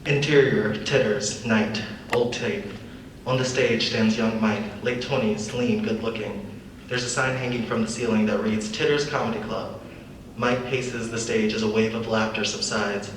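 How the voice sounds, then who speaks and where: Low-voiced young man reading in echoey room. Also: fairly even intonation, moderate pace. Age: young adult. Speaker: reader of script action lines. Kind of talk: scripted narration, live table read. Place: large echoey room, live event. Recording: echoey room, distant mic, faint noise.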